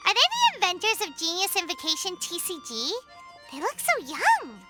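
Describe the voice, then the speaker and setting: A woman voicing a small, excitable childlike female companion in an English anime game dub, squeaky and exuberant. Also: moderate pace, heavily acted. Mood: curious, chatty. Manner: exuberant, refers to self in third person. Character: small childlike female companion, excitable, food-loving, speaks in third person. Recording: loud background music.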